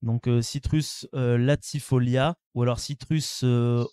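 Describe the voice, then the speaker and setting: A man's voice: mid-range voice, fairly even intonation, a little slow, warm tone, clean tone, no room sound, studio quality. A man hosting a casual podcast quiz, explaining with many fillers and self-deprecating asides. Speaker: podcast host running a quiz. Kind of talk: casual chat.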